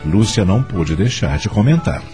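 A man's voice: on the low side, lively intonation, moderate pace, dark tone, faint music behind. A man narrating a scripted radio drama as its storyteller.

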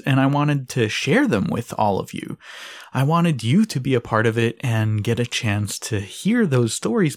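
A man in his forties from Israel, hosting a podcast and speaking earnestly, his pitch jumping up on key words. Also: a little mellow. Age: 40s. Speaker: podcast host. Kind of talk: podcast introduction.

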